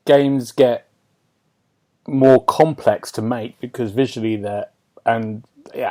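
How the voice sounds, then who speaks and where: A man's voice: lowish voice, darkish tone. A man hosting a casual gaming podcast, rambling and thinking aloud, often seeking agreement. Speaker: podcast host.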